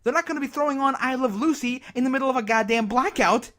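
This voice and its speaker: An actor playing a bitter, despairing emergency radio broadcaster in a zombie apocalypse drama, halting, sardonic and self-berating in his monologue. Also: very high voice, fairly bright tone. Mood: anguished self-reproach. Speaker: radio announcer.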